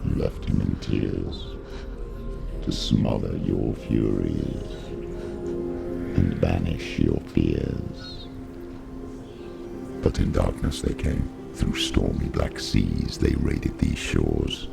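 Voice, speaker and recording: An actor voicing a menacing dark demonic spirit that narrates a game in solemn verse with a slow, growling delivery. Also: male voice, heavily acted. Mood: ominous. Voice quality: very deep, gravelly growl. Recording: loud music over the voice.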